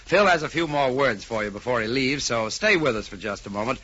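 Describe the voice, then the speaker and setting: Bright, polished 1940s radio announcer man. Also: medium pitch, expressive, brisk. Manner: polished, showmanlike. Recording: dull 1940s radio recording.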